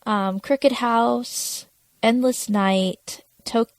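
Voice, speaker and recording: A woman's voice: a little high, steady tone, extremely slow, no room sound. A woman talking casually as a podcast co-host, chatting through a television episode. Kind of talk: casual chat about a TV episode.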